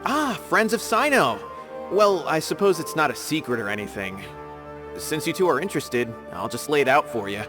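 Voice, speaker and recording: A man's voice: fairly high pitch, sing-song, moderate pace. A man voicing a polite, earnest male academy official in a video game, explaining matters formally and courteously. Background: game music.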